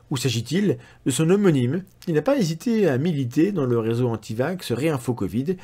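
Man, 40s, skeptic host, reads analysis to camera, dry ironic asides, lively intonation, desk mic indoors. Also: mid-range voice. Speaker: science skeptic video host. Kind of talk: reading a critical analysis to camera.

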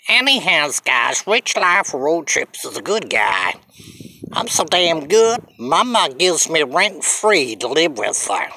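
A man's voice: a little high, sing-song, sharp tone, a little hiss or hum, low-quality recording. An older man from Canada rambling in a selfie vlog, filmed outdoors on a phone held at arm's length, drawling and repeating himself as he promotes his channel. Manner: slow, drawling, repetitive self-promotion. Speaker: vlogger. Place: outdoors on a sunny hillside street.

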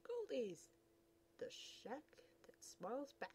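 A playful young woman reads a comic aloud and voices its sound effects. Her voice is fairly high and very lively in pitch. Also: slow, breathy edge. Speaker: comic reader.

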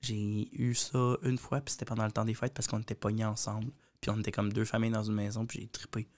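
Young man, Quebec French accent, podcast panelist; rapid, animated, jokey. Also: on the low side. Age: young adult. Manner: animated, jokey, acts out quotes. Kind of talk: casual group discussion. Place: small podcast studio. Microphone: studio mic with headphones.

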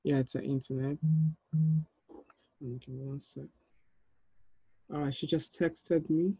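Guy in his 30s running an online meeting, casual, mellow dry voice. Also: medium pitch, fairly even intonation, slow pace, creaky, breathy edge, dry recording, muffled recording. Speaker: host, film director running a table read. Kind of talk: casual video-call chat. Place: small room indoors on a video call.

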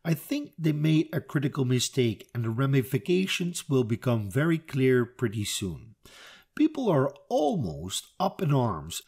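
A man's voice: measured pace, husky. A man with a Dutch accent giving an opinionated educational commentary and reading out a translated news article. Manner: opinionated, emphatic, lively pitch swings. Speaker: video commentator.

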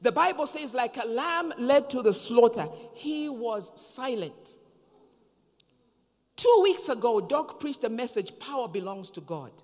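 Zambian woman, 50s, preacher, lively swooping pitch, echoing church hall. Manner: emphatic, slow and dramatic with pauses. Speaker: pastor. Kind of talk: sermon. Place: large church hall, on stage at a pulpit. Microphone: handheld microphone. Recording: long reverb of a church hall.

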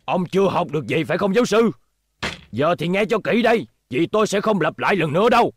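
A man's voice: sits high, expressive, quick pace, a little hiss or hum. A man dubbing an elderly, authoritative and exasperated professor in a cartoon, speaking emphatically. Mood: stern, scolding. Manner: stern, emphatic, explanatory.

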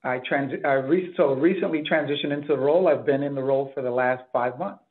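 A man's voice: mid-range voice, a little fast. A middle-aged man from the Bahamas answering interview questions as a hospital executive over a video call. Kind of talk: interview answers about career. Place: office, indoors. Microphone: computer mic on a video call. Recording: thin, phone-like video call audio.

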